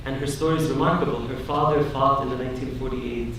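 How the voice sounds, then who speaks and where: A man's voice: mid-range voice, steady tone, quick pace, a bit bright. A young man giving an earnest, emphatic keynote speech, telling personal stories to an audience. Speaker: keynote speaker, academic. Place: large indoor meeting hall at a conference. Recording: echoey hall, far from mic, noisy.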